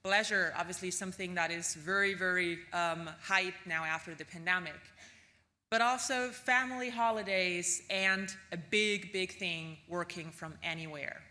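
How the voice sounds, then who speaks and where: Bright-voiced woman giving a keynote. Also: lowish voice, fairly even intonation, unhurried. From Austria. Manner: casual, chatty delivery with frequent ums and tag questions. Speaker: keynote speaker. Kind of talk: conference keynote presentation.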